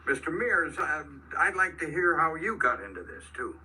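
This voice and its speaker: An actor in a radio drama playing a hesitant, polite small-town constable with a folksy drawl. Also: male voice, fairly high pitch, big pitch swings, normal speed, pushed and bright, distant mic, full character voice. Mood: politely official. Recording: noisy radio drama, off the mic.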